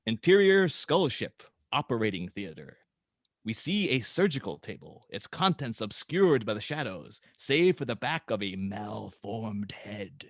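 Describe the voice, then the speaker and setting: A man hosting and narrating a script read-through in a casual, somewhat halting manner. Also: on the low side, very animated, dry recording. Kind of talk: casual introduction, then reading screenplay directions aloud.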